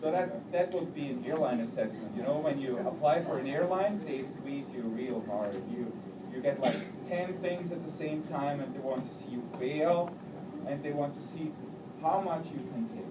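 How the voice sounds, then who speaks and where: A man's voice: fairly high pitch, moderate pace. A man, an airline pilot giving a playful talk to children and quizzing them. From Austria. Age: 40s. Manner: lively, teasing, quizzes the audience. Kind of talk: playful talk to a young audience. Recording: roomy, distant mic, loud crowd and noise.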